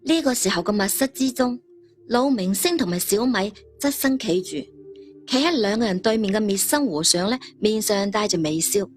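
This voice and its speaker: A woman's voice: mid-range voice, normal speed. Hk lady storyteller, dramatic narration, faint music behind.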